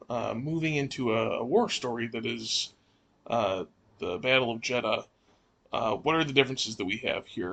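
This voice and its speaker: Gravelly, husky man in casual discussion. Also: medium pitch, fairly bright tone. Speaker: podcast co-host asking questions.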